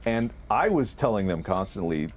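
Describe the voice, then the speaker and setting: Animated, profane man telling casual stories. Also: fairly low pitch, normal speed. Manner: animated, profane, exaggerating for effect. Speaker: video creator and co-host, recounting past productions. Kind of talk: casual conversational storytelling.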